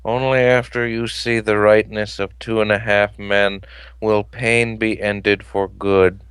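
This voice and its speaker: Male reader doing a smug stiff cartoon cat, booming from off-mic, slow and low. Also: flat delivery, fairly bright tone, clean tone, no echo. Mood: solemn.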